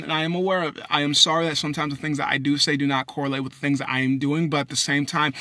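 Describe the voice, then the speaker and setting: Young guy venting into his phone in his car, bright forceful voice, dry close sound, swears a lot, loud then mumbly. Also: no echo. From Haiti. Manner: blunt, profane, swinging between loud outbursts and low mutters. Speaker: vlogger. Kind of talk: rambling personal monologue. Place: inside a parked car at night. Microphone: phone camera at arm's length.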